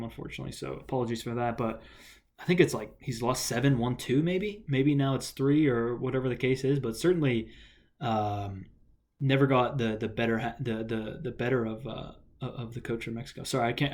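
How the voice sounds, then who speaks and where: A man's voice: medium pitch, darkish tone. A young American man talking casually about a soccer match in a solo vlog, rambling with lots of fillers and restarts. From United States. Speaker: soccer vlogger.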